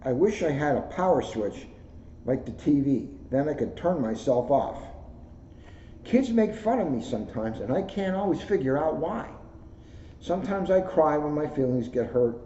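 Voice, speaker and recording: A man reads a story aloud in a mellow, relaxed voice pitched a little high. The room is echoey and the recording is dull, with noise behind him. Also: normal speed. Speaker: police officer reading a children's book aloud. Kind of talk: read-aloud storytelling with casual asides. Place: indoors, second floor of a borough hall. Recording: roomy echo, dull lo-fi sound, clear noise.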